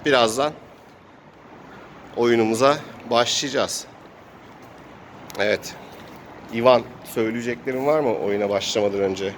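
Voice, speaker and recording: A man's voice: medium pitch, a little slow, pauses often. A man narrates an improvised role-playing game outdoors as host and game master, telling it casually with spoken sound effects. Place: outdoors in an urban area. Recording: outdoor urban noise, passing vehicles.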